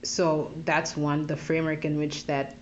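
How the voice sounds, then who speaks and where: A woman from Zambia, a podcast guest who works with youth, talking in a thoughtful, searching way with many pauses and restarts. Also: sits low, monotone, lo-fi sound, a little hiss or hum. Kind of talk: casual interview talk.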